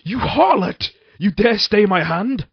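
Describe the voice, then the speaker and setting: A man's voice: high-pitched, lively intonation, takes it slowly, slightly rough, no echo, dull audio, heavily acted. A man playing a tormented, violent-tempered husband in a Gothic horror audio drama, with brooding, theatrical, archaic delivery. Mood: furious.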